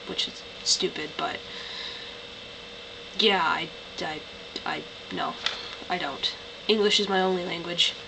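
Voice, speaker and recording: A woman's voice: lowish voice, creaky. A tired young woman with a Canadian accent, rambling through an accent-tag vlog with lots of "like" and "um". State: tired, voice lower than usual. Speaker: vlogger. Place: bedroom. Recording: noisy home webcam recording.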